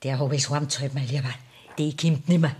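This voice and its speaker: Devoted, fierce Bavarian sweetheart, radio drama. Low pitch, extremely breathy, husky delivery. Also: female voice, fairly even intonation, fairly quick, a little mellow. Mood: spiteful. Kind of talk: radio play acting.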